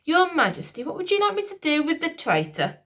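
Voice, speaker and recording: A woman's voice: extremely high pitch, very animated, a little fast, a bit bright, pitched above the performer's own voice, exaggerated voice. Woman playing an oily scheming courtier. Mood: smarmy. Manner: oily, falsely soothing, pompous. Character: smooth scheming male Lord Protector, older courtier.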